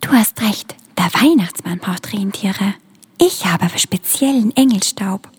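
A child acting a gentle, worried Christmas spirit with a breathy, half-whispered voice in a school radio play. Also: mid-range voice, unhurried. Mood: explaining.

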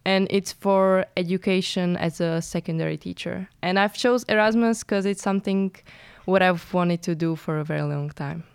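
Crisp, dry-voiced young Slovak woman. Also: on the low side, moderate pace, dry recording. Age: 20s. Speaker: student guest.

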